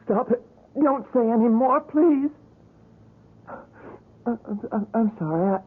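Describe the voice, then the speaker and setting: A woman's voice: on the high side, expressive, breathy edge, heavily acted. An actress playing a shaken young woman visitor who speaks hesitantly in a 1970s radio drama. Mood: distressed. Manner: hesitant, halting speech. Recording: tinny lo-fi radio broadcast.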